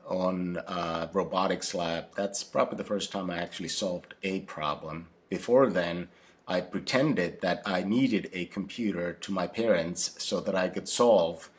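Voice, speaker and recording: A man from Finland, answering interview questions in a halting way, full of fillers and restarts. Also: low-pitched. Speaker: podcast guest, company founder.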